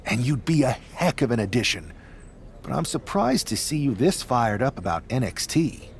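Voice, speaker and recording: A man's voice: mid-range voice, sing-song, normal speed, a little hiss or hum. A man playing a wry, teasing team leader in a video game cutscene, with playful sarcasm. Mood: surprised, welcoming.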